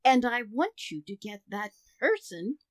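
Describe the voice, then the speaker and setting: Woman doing a fed-up sarcastic housewife in a radio comedy, highish voice, slow and pointed. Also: very animated, no room sound. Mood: exasperated, sarcastic. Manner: measured, pointed, dryly sarcastic. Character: exasperated, firm suburban wife and mother, sarcastic. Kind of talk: old-time radio sitcom acting.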